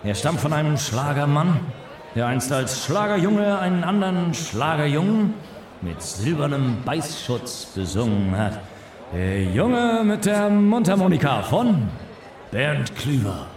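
An actor playing a rambling, cheesy small-town dance-band announcer, showy and full of silly patter. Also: male voice, fairly high pitch, sing-song, unhurried. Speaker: party announcer. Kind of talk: hammy stage announcements in radio drama. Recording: echoey hall, distant, crowd behind.

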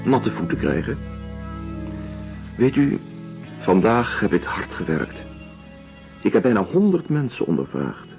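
Deep-voiced, weary doctor-writer. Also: male voice, sing-song, measured pace, gravelly, pauses often, soft background music, full character voice. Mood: weary, detached. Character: weary, detached doctor-writer documenting a penal colony. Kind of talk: radio drama acting. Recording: dull lo-fi radio play recording.

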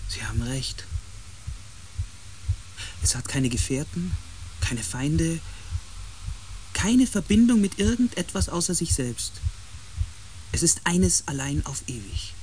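An earnest, analytical explorer in a science fiction radio play. His voice is high for a man, searching and emphatic, with faint ambience around it. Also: very animated, slow pace, pauses often, a little mellow. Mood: sombre. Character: earnest, analytical male expedition member. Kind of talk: German radio play acting. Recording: noisy with ambient sound.

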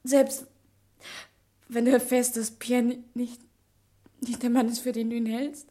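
A woman's voice: on the high side, level tone, unhurried, mellow, close to an everyday voice. An actress playing a frightened, pleading woman who speaks hesitantly in a German radio crime drama. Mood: desperate pleading.

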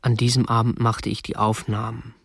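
A man's voice: mid-range voice, flat delivery, moderate pace, creaky, a bit bright, no room sound. An actor playing a prim, unremarkable man in his twenties, a captor narrating matter-of-factly in a radio drama. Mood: detached recounting. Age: 20s. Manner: matter-of-fact, stiffly proper. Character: prim, unremarkable 27-year-old male captor, petty-bourgeois butterfly collector. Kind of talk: first-person narration and dialogue in a radio drama. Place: dry studio.

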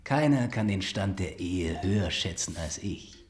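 A suave young charmer in a radio drama, teasingly grandiose. His lowish voice swings expressively as he talks with mock solemnity. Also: expressive, unhurried. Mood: playfully grandiose. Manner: smooth-talking, mock-solemn. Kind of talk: German radio play acting.

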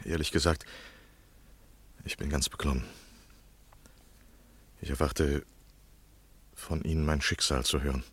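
A man playing an anxious, insistent gentleman journalist in an old German radio play, deliberate and confessional in his literary phrasing. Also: extremely low pitch, steady tone, full character voice. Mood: pleading. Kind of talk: 1960s German radio play acting and narration.

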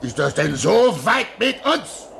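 A man's voice: high voice, sing-song, takes it slowly, gravelly, fairly bright tone, slight background noise, full character voice. An actor in a German radio play, playing a pious, fatherly older polar researcher who is earnest, soothing and admonishing. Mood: admonishing, pleading.

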